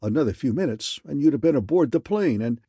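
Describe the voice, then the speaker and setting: A man's voice: fast talker, breathy edge, no echo. A man reading an audiobook, voicing a frantic male colleague calling with urgent news. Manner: excited, breathless, high-pitched urgency.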